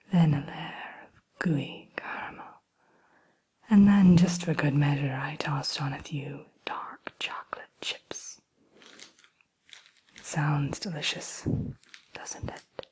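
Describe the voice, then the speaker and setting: A sly evil queen, played by a woman, tends her shop of cursed treats in an ASMR roleplay. Her voice is low and extremely breathy, mostly whispered. She speaks slowly and coyly, with genteel, teasing menace and a touch of loneliness. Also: darkish tone, exaggerated voice. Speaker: roleplay shopkeeper addressing a customer.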